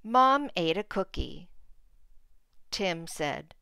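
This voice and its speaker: A woman reading a storybook aloud as a teacher for learners, in deliberate, simple phrases. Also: fairly low pitch, very animated, gravelly, bright, forceful tone, clean tone, no echo, studio quality.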